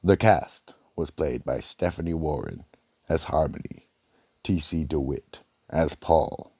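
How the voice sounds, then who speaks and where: Man as a very deep, gravelly mystery narrator. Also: mellow, dry recording, clean studio sound, exaggerated voice. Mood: announcing. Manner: deliberate, dramatic. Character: ominous male storyteller of a mystery radio theatre. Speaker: narrator and announcer. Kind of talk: scripted radio mystery narration.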